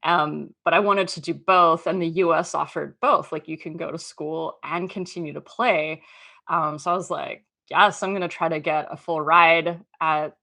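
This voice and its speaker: A woman's voice: sits low, steady tone, normal speed, gravelly, bright, forceful tone. A woman in her thirties from Australia, a guest chatting casually in an interview, full of "um" and "like". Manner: chatty, lots of fillers and "like". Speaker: podcast guest.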